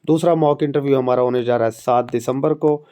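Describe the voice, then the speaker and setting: Man with a sore throat and a mellow voice. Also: mid-range voice, fairly even intonation, a little fast, smooth. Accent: Pakistani Urdu. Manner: chatty, conversational, addresses viewers directly. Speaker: exam prep academy instructor. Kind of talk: informal exam and job update talk.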